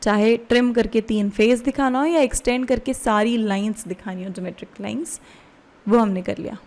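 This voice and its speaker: A woman with an Indian accent giving a step-by-step software tutorial as an instructor, explaining casually and often checking in with questions. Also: fairly high pitch, steady tone, normal speed.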